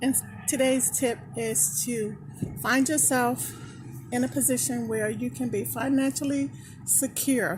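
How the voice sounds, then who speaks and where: A woman vlogging motivational tips in an encouraging, slow and measured way, filmed on a phone while out on errands. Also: a little high, slight background noise, poor audio. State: slightly hoarse. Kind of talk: encouraging casual vlog talk.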